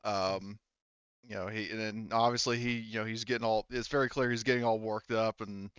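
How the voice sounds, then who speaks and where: A man running a tabletop game as game master, narrating casually in a halting, rambling way. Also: fairly low pitch, fairly even intonation, a bit bright, clean tone, no echo, lo-fi sound.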